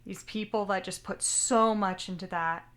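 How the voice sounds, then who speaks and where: A woman's voice: medium pitch, fairly even intonation, normal speed, a bit bright. A young woman co-hosting a casual anime podcast, chatty and rambling, often second-guessing how she pronounces names. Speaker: podcast co-host.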